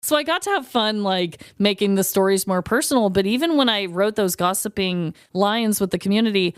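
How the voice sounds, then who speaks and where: A woman co-hosting a true-crime podcast, telling the story in casual, animated chat and laughing often. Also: mid-range voice, fairly quick, clear, resonant tone, dry recording, studio quality. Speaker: podcast co-host.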